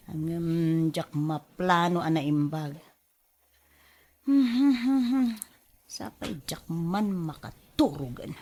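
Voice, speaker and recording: A woman's voice: fairly low pitch, darkish tone, full character voice. A woman acting as a restless, nagging wife in a radio drama, full of sighs and exclamations. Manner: exclamatory, sighing, querulous. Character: restless, nagging wife fretting and bickering with her husband.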